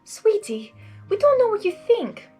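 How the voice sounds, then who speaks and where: A woman's voice: very high-pitched, big pitch swings, unhurried, warm tone, faint music behind, pitched above the performer's own voice, full character voice. A woman voicing a refined, dramatic and caring young female cartoon pony with a genteel manner. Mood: concerned.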